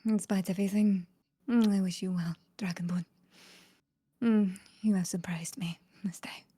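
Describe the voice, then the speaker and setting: A proud, reserved adventuress acted by a woman player in a tabletop fantasy game. She speaks in a hushed, deliberate voice at a medium pitch, with formal, respectful wording. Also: fairly even intonation, slightly rough, lightly acted. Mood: grudgingly respectful.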